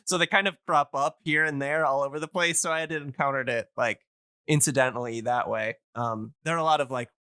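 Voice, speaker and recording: A man explains things casually as a podcast guest. His voice is bright and smooth at a middle pitch, in a very dry recording. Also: a little fast, no echo.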